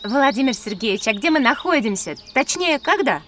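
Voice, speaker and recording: Young girl, high pitch, alert, inquisitive, slightly tart, children's audio drama, a little distant, faint nature sounds. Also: sing-song, moderate pace, a bit bright, slight background noise. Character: alert, clever, easily miffed young girl explorer.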